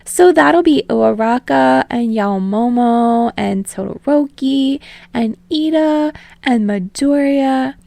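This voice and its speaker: A woman playing an excitable young woman, pitched well up and whining with eagerness. She rattles on without pause in a read-aloud fan story. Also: vocal fry, dry recording, full character voice. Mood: excited.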